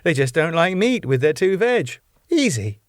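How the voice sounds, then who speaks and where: A deadpan older British landlady, put on by a man, flat and matter-of-fact. The delivery is dry and close to the mic. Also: on the high side, normal speed, dry recording, voice pushed up. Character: deadpan older woman, club owner's wife.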